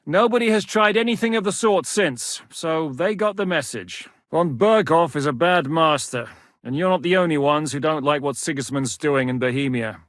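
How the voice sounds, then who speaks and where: A man's voice: a little high, normal speed, fairly bright tone, no room sound, natural voice. A man voicing a hardened warlord commander in a video game, blunt and weary, cursing freely. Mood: gruff. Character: hardened male warlord commander, gruff and wary. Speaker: game character voice lines. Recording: dry studio voice recording.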